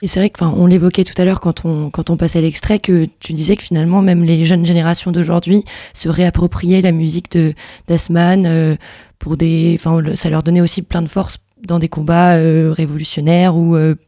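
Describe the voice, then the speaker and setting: A woman hosting a radio interview, asking relaxed, gently searching questions with a few fillers. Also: fairly low pitch, a little fast, no room sound, studio quality. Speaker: radio host. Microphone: radio studio microphone.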